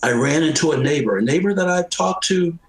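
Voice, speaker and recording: A man's voice: fairly high pitch, animated, fairly quick, rough recording. An American man speaking at a panel discussion. He talks earnestly and with emphasis, repeating phrases for effect. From United States. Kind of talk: personal, earnest panel remarks.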